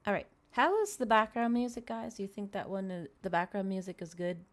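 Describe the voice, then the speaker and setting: A woman's voice: medium pitch, a little fast. A woman hosting an ASMR livestream, chatting casually and reading aloud in a soothing way. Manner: soft-spoken, soothing when reading. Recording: clear background noise, fireplace crackle ambience. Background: fireplace crackles and quiet ambient music.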